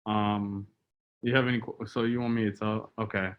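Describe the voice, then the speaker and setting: A man's voice: lowish voice, moderate pace, smooth. A young man, a business co-owner answering interview questions casually with plenty of ums. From Boston, US. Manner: relaxed, hesitant, many ums. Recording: dull lo-fi sound.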